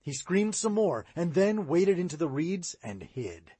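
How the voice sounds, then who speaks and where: A man reading a children's audiobook aloud in an expressive storytelling manner. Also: on the high side, unhurried, breathy edge, no echo. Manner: expressive read-aloud, rising and falling. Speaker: audiobook reader.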